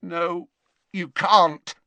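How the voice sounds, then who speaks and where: A man's voice: sits high, flat delivery, drawn-out words, pushed and bright, no echo, voice pushed up, exaggerated voice. A man voicing a frightened, shaking teenage boy who is desperate. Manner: shaky, desperate.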